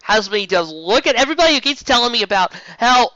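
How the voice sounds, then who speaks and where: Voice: medium pitch, lively intonation, fast, bright, forceful tone, dry recording, highs cut off. A show host giving a casual, rambling fan review in a gushing, emphatic way, often tripping over words and restarting. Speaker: host of a fan fiction live-read show.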